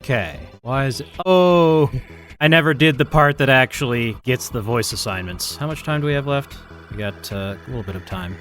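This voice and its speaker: A man's voice: mid-range voice, normal speed, a bit bright. A man thinking aloud in casual, rambling, self-deprecating commentary as he live-streams his programming. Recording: music playing behind the voice.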